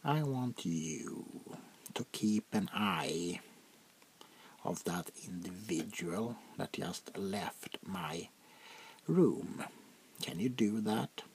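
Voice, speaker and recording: A centuries-old vampire prince, acted by a man, murmurs in a very low, creaky half-whisper. He is haughty and quietly menacing, drawing out each command with slow condescension. It is a soft ASMR roleplay with audible noise behind the voice. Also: expressive, heavily acted. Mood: commanding, dismissive. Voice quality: gravelly, breathy half-whisper. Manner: slow, deliberate, condescending, drawn-out pauses.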